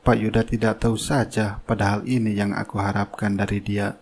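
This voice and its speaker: A man's voice: lowish voice, flat delivery, brisk, darkish tone. A calculating young cartoon-drama worker, voiced by a man. He sounds polite and meek on the surface, with a sly, self-serving streak underneath. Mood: sly, smug. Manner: deferential aloud, sly in private. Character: scheming gold-digging young worker, outwardly meek and innocent. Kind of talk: cartoon drama dialogue and inner thoughts.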